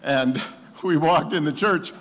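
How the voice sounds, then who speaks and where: A seventy-year-old man preaching with personal testimony, emphatic and animated, repeating phrases for effect. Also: a little high, unhurried, slight background noise. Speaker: pastor preaching to his congregation. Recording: long hall reverb.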